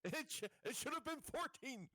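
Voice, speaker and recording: A man's voice: extremely high pitch, expressive, moderate pace, sharp tone. A man chatting casually as a player in a tabletop roleplaying game.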